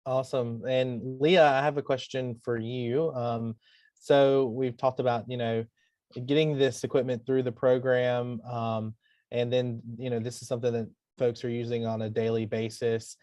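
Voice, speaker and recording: Man, webinar host, conversational moderator, mid-pitched, dry recording. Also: flat delivery, moderate pace, a little mellow, no echo.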